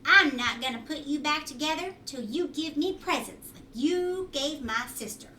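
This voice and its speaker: Woman doing a high, whiny, spoiled country girl. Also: expressive, moderate pace, pushed and bright. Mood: demanding. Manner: cross, complaining. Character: spoiled, greedy, whining rude country girl. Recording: roomy home recording, distant mic, faint noise.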